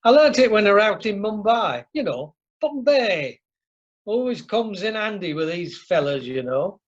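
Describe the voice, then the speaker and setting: Amateur actor as blustering Northern English husband, lively swoops, jovial, blunt, radio comedy, muffled online sound. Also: medium pitch, moderate pace. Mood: jovial, showing off. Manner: chummy, blustering, colloquial. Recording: muffled online meeting audio.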